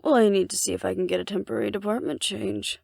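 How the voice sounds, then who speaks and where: A woman's voice: mid-range voice, fast talker, creaky, darkish tone, dry recording, clean studio sound. A woman playing a cocky, flirtatious female alpha werewolf in an intimate audio roleplay, speaking to the listener in a wry, teasing, confident way. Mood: smug, playful bargaining.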